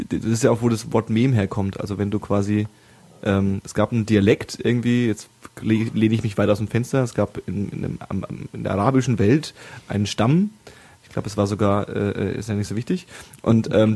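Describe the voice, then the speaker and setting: A man's voice: fairly low pitch, dark tone, slightly rough. A man from Bavaria talking in a casual chat, rambling with many restarts and fillers.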